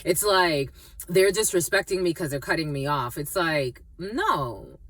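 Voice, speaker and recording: A woman's voice: sits low, lively intonation, measured pace, fairly bright tone, no room sound, far from the mic. A woman with family from the Dominican Republic, giving a rambling tarot reading full of fillers and restarts. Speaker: tarot reader. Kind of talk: casual spiritual reading monologue.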